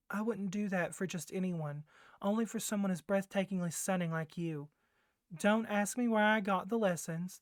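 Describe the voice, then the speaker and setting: A woman voicing a doting, clingy young yandere boyfriend kidnapper in a soft ASMR roleplay, gushing and coaxing, with flustered hesitations. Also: lowish voice, no room sound, studio quality, lightly acted. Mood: tender, clingy.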